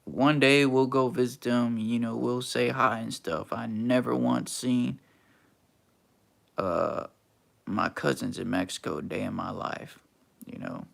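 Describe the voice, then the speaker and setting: A man's voice: medium pitch, a little slow, creaky. A young man from Texas, hosting a casual livestream and answering chat in a laid-back, slangy, teasing way. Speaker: livestream host reading chat.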